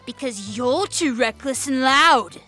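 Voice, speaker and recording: Audio drama boy played by a woman: cheeky urchin, high, bright voice, needling and teasing, music behind. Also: expressive, slow pace, exaggerated voice. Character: cheeky, mocking young street boy.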